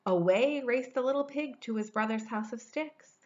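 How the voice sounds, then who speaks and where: A woman's voice: on the high side, normal speed, a little mellow, muffled recording. A woman in her forties reading a children's storybook aloud expressively, stretching words for dramatic emphasis. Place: indoor room at home.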